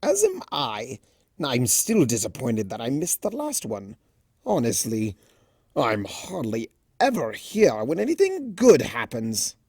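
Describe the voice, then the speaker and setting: A performer, a man, voicing a flamboyant, teasing male chimera trickster from a cartoon fan story, with theatrical drawn-out emphasis. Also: mid-range voice, huge pitch swings, a little mellow, husky, no echo, clean studio sound, full character voice. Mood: smug, disappointed.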